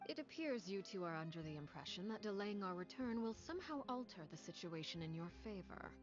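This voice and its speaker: A woman voicing a cold, commanding noblewoman who speaks in a calm, measured, condescending way. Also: medium pitch, lightly acted. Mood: cool commanding. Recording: dull game audio with music.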